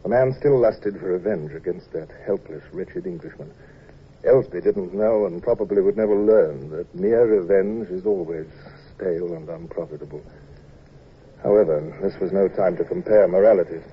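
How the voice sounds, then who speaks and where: An actor playing a brisk, blustering but humane British naval commodore in a radio drama, with an authoritative, clipped, theatrical delivery. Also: male voice, low-pitched, husky. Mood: grave, resolute. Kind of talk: old-time radio drama acting. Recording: dull, noisy old radio recording.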